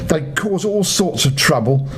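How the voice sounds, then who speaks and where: An older man reading a bedtime story to children, playful and expressive, speaking to his young listeners. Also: fairly high pitch, a little slow, slight background noise.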